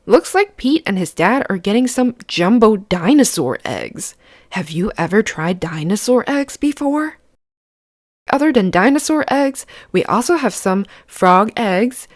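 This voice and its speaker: Female children's read-aloud host, lively pitch swings, slow clear-cut pacing, dry close sound. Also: dry recording. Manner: expressive, simple, child-directed explaining. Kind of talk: picture book read-aloud to children, with questions to the listener.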